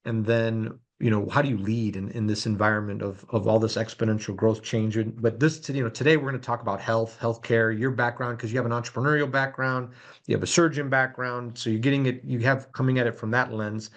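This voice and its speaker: A man's voice: mid-range voice, steady tone, brisk. A man hosting a podcast, reading sponsor thanks and introducing his guest in a loose, filler-heavy way. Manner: loose, many fillers and restarts. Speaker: podcast host.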